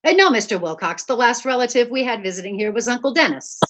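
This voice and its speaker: A woman playing a patient, gently chiding housewife in old-time radio comedy style, with a dry, teasing manner. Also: a little high, lively intonation, fast talker, close to an everyday voice. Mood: wry. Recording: clearly noisy live-stream audio.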